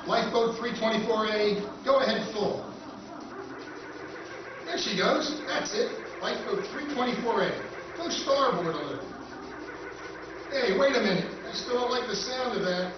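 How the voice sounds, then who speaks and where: A man's voice: sits high, animated, moderate pace, pauses often. An actor playing an easygoing, joking male surveyor partner in a live radio drama recreation. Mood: casual. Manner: breezy, joking. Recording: echoey hall, far mic, muffled, noise.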